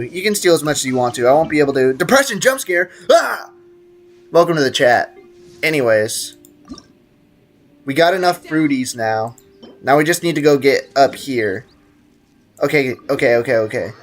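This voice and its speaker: Playful male game streamer, noisy background. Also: medium pitch. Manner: playful, slangy, prone to exaggerated outbursts. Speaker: live streamer hosting a gaming stream. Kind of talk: casual gaming livestream chat, reading viewer comments aloud.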